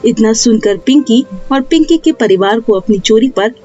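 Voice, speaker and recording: High-pitched woman storyteller. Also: animated, normal speed, warm tone, dull audio, faint music behind. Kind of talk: scripted children's story narration.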